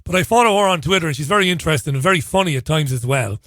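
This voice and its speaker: Hoarse chatty talk radio host. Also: male voice, a little high, lively intonation, very fast, a bit bright, dry recording, studio quality. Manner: chatty, wry asides, self-interrupting. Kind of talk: casual talk radio monologue.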